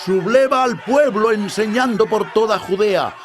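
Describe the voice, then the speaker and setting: A man's voice: high-pitched, unhurried, faint music behind. A man playing insistent, indignant biblical men in a dramatized scripture reading, with a declamatory manner. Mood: accusing. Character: insistent, indignant men: denying disciple and priestly accusers.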